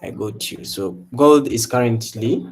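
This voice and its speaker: A man's voice: medium pitch, measured pace. A young man from Uganda with a Ugandan accent, hosting a casual live trading stream and chatting loosely to viewers from an indoor room. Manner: relaxed, repetitive hype calls to viewers. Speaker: livestream trading host. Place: indoor room before a branded backdrop.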